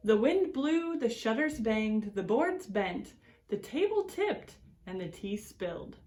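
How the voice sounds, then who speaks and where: Woman for children's read-aloud: unhurried educator, swooping expressive delivery, mid-pitched voice, words stretched for effect. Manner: deliberate, expressive storytelling with drawn-out words and swoops. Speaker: extension educator reading aloud to schoolchildren.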